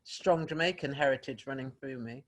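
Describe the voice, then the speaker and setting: A man's voice: mid-range voice, moderate pace, a bit bright. A man from England, speaking reflectively in an oral history interview, with hesitations and restarts.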